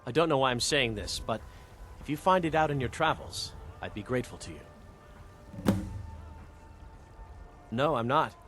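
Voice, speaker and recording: An actor playing a proud, guarded wandering warrior in a video game, speaking in a measured, plain way. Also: male voice, mid-range voice, expressive, fairly bright tone, faint music behind. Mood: reflective. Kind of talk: video game character dialogue.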